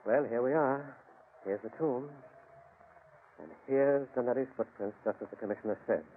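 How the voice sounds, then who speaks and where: An actor playing a confident, soothing criminologist in an old-time radio drama, speaking in an articulate, theatrical and reassuring way. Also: male voice, medium pitch, moderate pace, a little hiss or hum, close to an everyday voice. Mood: calm, intrigued. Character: confident, soothing male criminologist investigating eerie mysteries. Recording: muffled vintage radio broadcast.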